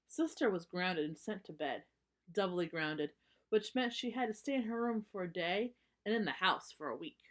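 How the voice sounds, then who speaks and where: A woman reading a story aloud for children, narrating and pausing to explain things simply. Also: lowish voice, expressive.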